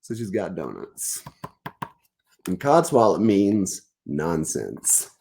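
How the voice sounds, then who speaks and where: A man's voice: low-pitched, huge pitch swings, slow, creaky, darkish tone, slight background noise. A man, an author, reading aloud and explaining words informally to young listeners at a children's story time. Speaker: author reading own book.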